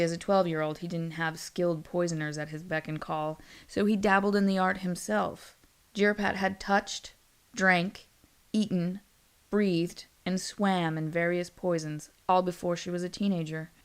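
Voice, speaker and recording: A woman's voice: lowish voice, smooth. A woman storyteller reading scripted fantasy narration in an audiobook manner.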